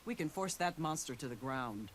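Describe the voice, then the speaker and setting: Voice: mid-range voice, lively intonation, normal speed, fairly bright tone, slight background noise, close to an everyday voice. An actor voicing an eager game alchemist. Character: eager scholarly alchemist, helpful. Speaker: alchemist ally in a video game.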